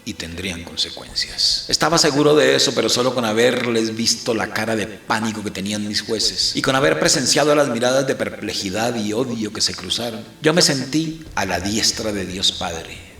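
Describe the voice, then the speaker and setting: A man playing an embattled, defiant priest who recounts his own story in a deliberate, literary audio drama narration. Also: on the low side, lively intonation, creaky, a bit bright, roomy sound. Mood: grimly triumphant. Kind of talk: dramatic first-person scripted narration.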